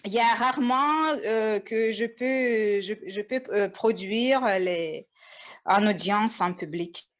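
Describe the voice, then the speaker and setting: A woman joining for the first time, introducing herself over a video call in a halting way with many restarts. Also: mid-range voice. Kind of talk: hesitant spoken self-introduction.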